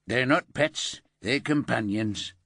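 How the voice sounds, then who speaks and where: A man's voice: mid-range voice, slow pace, gravelly, no echo, studio quality, full character voice. A man doing an old ex-miner. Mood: grieving. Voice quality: broad dialect, gentle and plain-spoken. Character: elderly male ex-miner, warm, grieving bird keeper.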